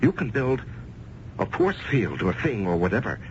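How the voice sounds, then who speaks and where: Animated financier on noisy old radio. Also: male voice, lowish voice, normal speed, dull audio. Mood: uneasy, questioning. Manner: expressive, with theatrical hesitations. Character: shrewd male financier, wavering between doubt and defiance. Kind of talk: old-time radio drama acting. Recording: band-limited, noisy old radio recording.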